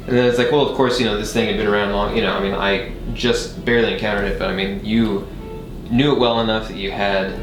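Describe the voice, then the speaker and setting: A man's voice: fairly low pitch, steady tone, fast talker, over music. A man chatting casually as a podcast guest, telling anecdotes in an enthusiastic, rambling way with casual swearing. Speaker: podcast guest, filmmaking sound engineer. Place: echoey studio room. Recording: echoey room with clear background noise.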